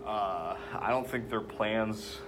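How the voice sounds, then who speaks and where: Low-voiced monotone teenage guy. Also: takes it slowly. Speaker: student leading a reading circle discussion. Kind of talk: casual group discussion. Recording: very noisy room recording with hum.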